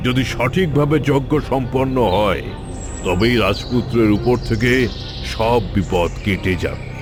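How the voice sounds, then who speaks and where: A man voicing a scheming, vengeful tantric sorcerer in a cartoon fairy tale, boastful and theatrical in his deliberate delivery. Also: medium pitch, music in the background, close to an everyday voice. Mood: solemn, persuasive.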